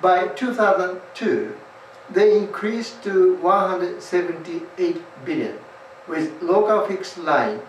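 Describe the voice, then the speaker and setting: A man's voice: sits high, muffled recording, slight background noise. Japanese-accented man lecturing in a roomy studio. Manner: measured, formal delivery. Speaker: English test tutor. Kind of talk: scripted lecture. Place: small indoor studio. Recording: roomy, slightly distant sound.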